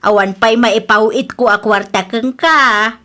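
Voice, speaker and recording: A woman's voice: on the high side, unhurried, sharp tone. An actress with an Ilocano Filipino accent playing a defensive, lovestruck middle-aged mother in an audio drama. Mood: pleading, flustered. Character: defensive lovestruck mother duped by young boyfriend.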